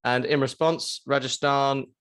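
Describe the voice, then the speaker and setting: Male podcast co-host, mid-pitched, relaxed and dry, quick with deadpan jokes. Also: clean tone. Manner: dry, joking asides, relaxed. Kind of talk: casual chat.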